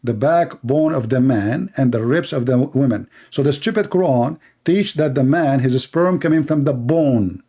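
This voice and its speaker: A man's voice: medium pitch, normal speed, hardly any pauses, dark tone, breathy edge. A man with an Arabic accent, a native Arabic speaker, giving heated, sarcastic commentary as host of a debate stream. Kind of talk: polemical commentary.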